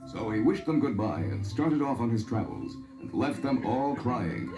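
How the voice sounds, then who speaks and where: Animated male fairy-tale narrator. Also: medium pitch, moderate pace. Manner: expressive storytelling. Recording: echoey vintage story record. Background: music.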